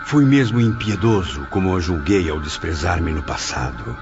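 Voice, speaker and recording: An actor playing a cruel, gloating villain obsessed with love, theatrical and mocking in an audio drama. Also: male voice, sits low, expressive, moderate pace. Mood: brooding regret. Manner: theatrical, mocking, swinging between menace and pleading. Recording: dull lo-fi, music behind.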